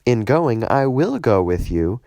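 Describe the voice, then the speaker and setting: A man's voice: mid-range voice, sing-song, measured pace, darkish tone, no room sound. A man voicing a commanding prophetess who urges a warrior on, in an emphatic declamatory way, in a scripture audiobook. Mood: firm.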